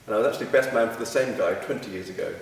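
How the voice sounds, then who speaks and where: A man with a lowish, monotone voice speaking in a relaxed, plain way. He is heard from a distance in a large hall with long reverb and a faint background hum. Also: rapid-fire, creaky. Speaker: club member. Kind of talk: casual interview. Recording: echoey hall from far off.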